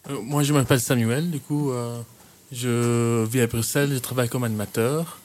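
A man's voice: mid-range voice, steady tone, normal speed, a little mellow, slight background noise. A man in his twenties who grew up in Kenya and works as a youth worker, answering interview questions in a hesitant way, with frequent fillers and restarts. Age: 20s.

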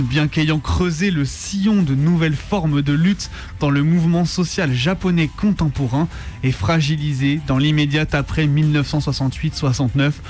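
A man narrates a scripted radio documentary. His voice sits a little high for a man, and music plays behind him as he presents. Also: darkish tone.

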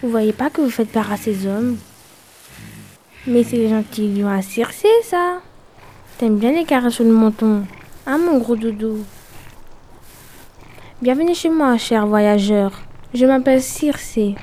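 Audio drama sorceress, acted by a woman, slightly high voice, cooing, theatrical, falsely sweet hostess. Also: a little slow, long pauses, warm tone. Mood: sweetly welcoming.

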